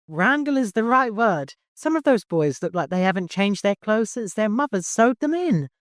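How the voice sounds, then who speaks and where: Man voicing a brisk older seamstress woman, pitched up. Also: big pitch swings, fairly bright tone, no echo, studio quality. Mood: amused teasing. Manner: brisk, good-humored, respectful but firm. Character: bossy, teasing, motherly older seamstress woman.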